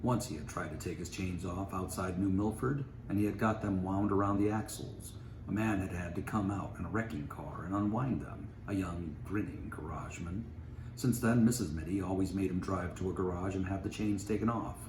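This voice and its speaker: Deep-voiced man reading a story. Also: normal speed. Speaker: story reader. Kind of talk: expressive scripted story narration. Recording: echoey room, faint background noise.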